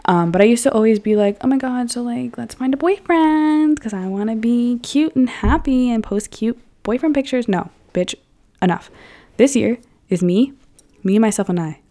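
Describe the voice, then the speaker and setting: A woman's voice: fairly high pitch, moderate pace, mellow. A woman in her early twenties talking casually and frankly to her viewers, swearing freely and filling her talk with "like.". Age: 20s. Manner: chatty, frank and profane, lots of "like". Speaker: vlogger.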